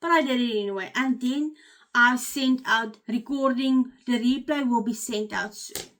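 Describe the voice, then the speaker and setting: A woman's voice: fairly high pitch, moderate pace, a bit bright. A woman with an Afrikaans accent, a life coach giving an earnest, candid motivational talk to her channel's viewers. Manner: earnest and candid, with frequent "um" fillers and restarts. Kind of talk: personal motivational talk to camera.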